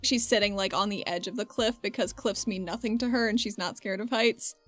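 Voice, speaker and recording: A woman's voice: medium pitch, brisk, fairly bright tone, faint music behind. A woman playing a tabletop game, casually describing what her character is doing. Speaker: player in a tabletop game podcast.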